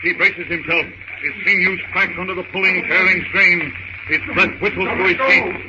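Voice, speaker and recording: A man's voice: high-pitched, fairly even intonation, moderate pace, highs cut off, heavy background noise. A man narrating a 1930s radio adventure serial in a theatrical, urgent manner with emphatic short phrases, on an old radio recording.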